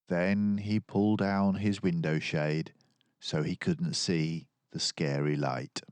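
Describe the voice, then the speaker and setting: A man reading a children's storybook aloud in an animated, expressive way, acting out the story. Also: sits low, slow pace, clear, resonant tone, dry recording. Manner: animated, acts out dialogue. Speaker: children's book reader.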